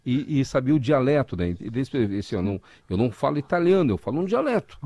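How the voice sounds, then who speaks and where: A male interviewer in casual conversation, chatty, dropping quick agreements and laughing along. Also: medium pitch, very animated, dark tone, no room sound.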